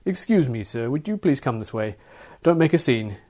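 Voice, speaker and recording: A man's voice: medium pitch, lively intonation, moderate pace, a little mellow, lightly acted. A man doing a stern, hulking airport security officer. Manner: curt, official, commanding.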